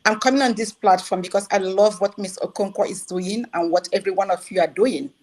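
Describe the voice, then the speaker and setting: A woman's voice: mid-range voice, fairly quick, darkish tone. A woman from Nigeria who lives in Germany, calling in to a talk show and firmly defending her accent, in earnest. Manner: earnest, firm, defending herself. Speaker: caller. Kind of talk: talk-show call-in, speaking English and German.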